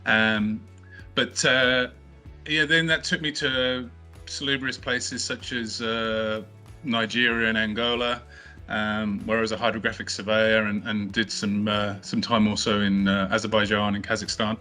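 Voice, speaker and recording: Middle-aged guy, bright low-ish voice, lots of ums, light music under it. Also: little pitch movement, unhurried. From not from Australia, studied in the UK. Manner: hesitant, many fillers and restarts. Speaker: company co-founder, podcast guest. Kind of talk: casual interview answers.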